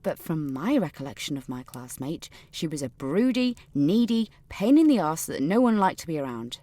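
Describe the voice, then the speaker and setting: A woman's voice: deep voice, sing-song, moderate pace, dark tone, no room sound. Snooty defensive rich lady, audio drama monologue, very proper wording, close dry recording. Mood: indignant, haughty. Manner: posh, pompous, precise formal diction. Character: haughty, defensive upper-class adult alumna named Katrina. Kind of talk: dramatic monologue in a fiction podcast.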